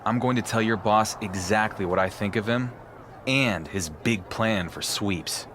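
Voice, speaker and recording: A man playing a wary, defiant pro wrestling champion in a video game, speaking in a dry, deliberate way. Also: on the low side, fairly bright tone, a little hiss or hum. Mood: edgy, defiant.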